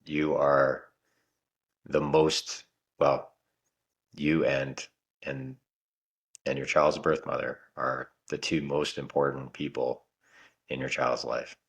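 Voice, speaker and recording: A man co-hosting a podcast about fatherhood, talking in a relaxed, rambling way with frequent restarts. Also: a bass voice, slow pace, pauses often, slightly rough. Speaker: podcast co-host. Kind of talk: casual podcast chat.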